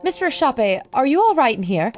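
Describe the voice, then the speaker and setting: A woman playing an earnest, inquisitive woman adventurer in a pulp adventure audio drama, with an expressive, wondering manner. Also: high voice, fairly quick, a little hiss or hum. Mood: curious.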